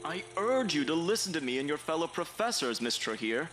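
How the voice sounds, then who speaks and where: A man's voice: a little high, sing-song, brisk, over loud music. A man playing a stern, scornful headmaster of a magic academy in an audio drama, scolding in a formal, haughty way. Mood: stern reproach.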